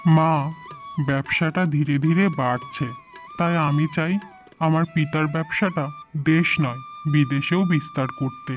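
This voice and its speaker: A man's voice: on the high side, wildly swooping pitch, a little slow. A man playing an ambitious, dutiful young merchant son in a fairy-tale cartoon, casual and reassuring. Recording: music under the voice.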